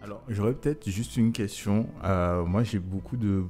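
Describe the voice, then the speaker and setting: A man's voice: lowish voice, normal speed, darkish tone, faint music behind. A man co-hosting a casual chat, asking questions and adding jokes. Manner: joking, questioning.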